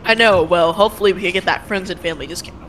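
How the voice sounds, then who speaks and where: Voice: medium pitch, rapid-fire, fairly bright tone, slight background noise, natural voice, low-quality recording. A young-sounding player acting as an eager teenage son in a casual video game roleplay.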